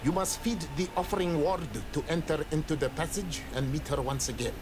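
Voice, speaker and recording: A man's voice: fairly high pitch, fairly quick, over music, close to an everyday voice. An actor playing a guarded, solemn island native man in a video game, speaking formally and sternly. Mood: solemn warning. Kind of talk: video game character dialogue.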